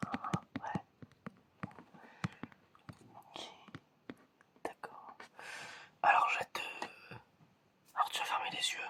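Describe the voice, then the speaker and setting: Whispering ASMR performer, hesitant and soft. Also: slow, long pauses. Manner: hesitant, murmuring, repeats short phrases. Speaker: ASMR performer playing an examiner.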